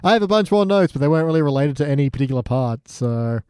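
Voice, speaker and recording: A man with a somewhat high-pitched but mellow voice, recorded close and dry. He chats as a podcast co-host in an informal, jokey style, slipping into imagined lines as he goes. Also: lively intonation, quick pace, clear, resonant tone, no echo. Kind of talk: casual chat reviewing a TV show.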